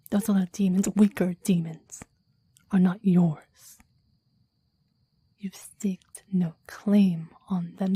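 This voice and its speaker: Woman playing a clingy devoted demon, ASMR roleplay, very dark mellow tone, breathy whispering, slow, formal, intensely adoring. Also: mid-range voice, dry recording. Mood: possessive, intense. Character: possessive, devoted clingy demon bound to a summoner.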